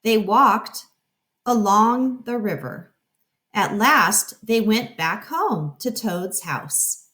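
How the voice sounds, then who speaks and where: Animated woman reading a children's story slowly. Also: mid-range voice. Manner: expressive, explanatory, addressing children.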